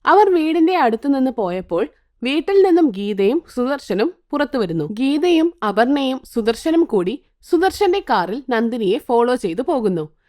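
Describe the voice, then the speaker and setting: Lively high-voiced woman storyteller. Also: normal speed. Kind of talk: scripted story narration.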